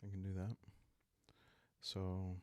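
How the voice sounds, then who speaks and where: A man speaking softly and calmly in ASMR style, improvising a story aloud as he hosts a solo roleplaying game, thinking out loud with long pauses. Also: sits low, clean tone, no echo. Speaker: host playing a solo journaling roleplaying game.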